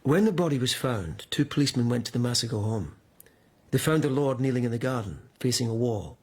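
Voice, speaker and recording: An actor playing an earnest, troubled lieutenant who investigates at an imperial court, sober and guarded in a radio drama. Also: male voice, medium pitch, animated, a little fast, a little mellow, slightly rough.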